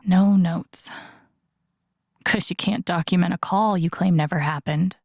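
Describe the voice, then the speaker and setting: A woman playing an introspective, gently wry young woman in a video game, musing to herself in a naturalistic, low-key way. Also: no echo, clean studio sound. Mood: wry, suspicious. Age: young adult. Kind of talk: naturalistic game voice acting, inner-monologue remarks.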